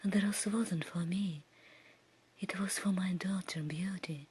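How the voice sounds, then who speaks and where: A woman voicing a humble, earnest merchant father from a fairy tale in a breathy whisper. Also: lowish voice, steady tone, slow, darkish tone, heavily acted. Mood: pleading, fearful. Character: frightened merchant father, pleading and grateful. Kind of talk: whispered ASMR storybook reading.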